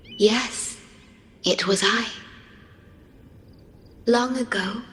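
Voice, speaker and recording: A devoted female guardian from a video game, tender and reserved. Her breathy voice is clear-toned and bright, with very slow, solemn phrasing. It rings in a roomy echo over faint noise. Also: mid-range voice. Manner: soft, breathy, solemn and measured delivery. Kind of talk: video game character acting.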